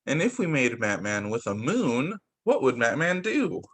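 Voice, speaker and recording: A young man who teaches young children, reading picture books aloud in a sing-song, expressive way over a video call. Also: mid-range voice, a little slow, husky. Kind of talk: read-aloud story time for young children.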